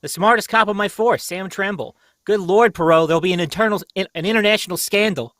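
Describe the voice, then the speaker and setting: Man acting a high-pitched, flustered police inspector. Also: fairly quick, fairly bright tone, no echo, studio quality. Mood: agitated. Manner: stammering, exclamatory, urgent. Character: agitated, blustering police inspector, easily flustered. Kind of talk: old-time radio mystery acting.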